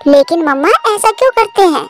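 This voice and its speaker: Woman as a very high little girl bird. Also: lively intonation, brisk, soft background music. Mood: curious, eager. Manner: childlike, inquisitive. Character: eager little daughter bird. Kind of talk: Hindi children's cartoon voice acting.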